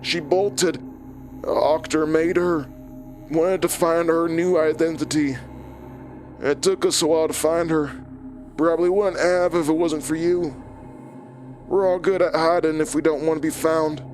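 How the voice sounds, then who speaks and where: A man's voice: fairly high pitch, steady tone, unhurried, long pauses, darkish tone, faint music behind, natural voice. A man playing a smug, taunting old enemy in a horror audio drama, clipped, mocking and menacingly casual.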